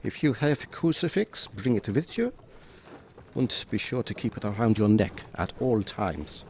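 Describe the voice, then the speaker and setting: Man playing a grave, creaky-voiced vampire-hunting professor. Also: on the low side, lively intonation, darkish tone. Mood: grave, urgent. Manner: formal, instructing, deliberate phrasing. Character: commanding male professor and vampire hunter, older, grave. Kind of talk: audio drama acting. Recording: audible background noise.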